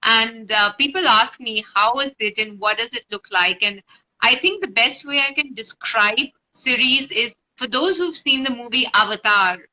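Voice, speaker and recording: A woman's voice: on the high side, sharp tone, rough recording. A woman spiritual guide talking informally and explaining things on a live stream, recorded on a phone with faint noise. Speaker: spiritual guide and meditation teacher.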